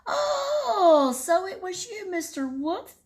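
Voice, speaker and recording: A woman voicing an excited mother hen in a children's story, with a high, screechy way of talking. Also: takes it slowly, heavily acted.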